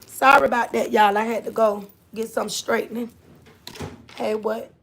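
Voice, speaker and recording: Gravelly high-voiced Southern woman streamer. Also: steady tone, normal speed, fairly bright tone. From Florida. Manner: chatty, drawling, scolds her kids off-mic. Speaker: livestream host. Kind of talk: casual live chat.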